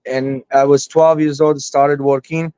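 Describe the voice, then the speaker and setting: A man's voice: on the high side, little pitch movement, unhurried, dark tone, clean tone, dry recording, highs cut off. Talkative Armenian-Lebanese man in his forties. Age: 40s. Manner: rambling, talkative, chatty. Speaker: guest hobbyist. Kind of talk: casual chat.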